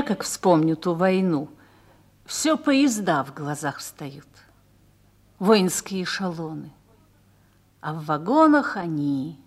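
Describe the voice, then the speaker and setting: Wistful war-weary woman, radio drama, husky and really slow, kinda hushed. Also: fairly low pitch. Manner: wistful, reflective, hushed at times. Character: sorrowful woman who remembers the war. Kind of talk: theatrical Russian radio drama.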